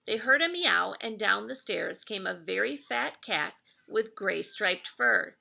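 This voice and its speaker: Bright-voiced woman reading a children's story. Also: mid-range voice, expressive, measured pace, gravelly. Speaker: elementary school staff member reading aloud to pupils. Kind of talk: children's story read-aloud.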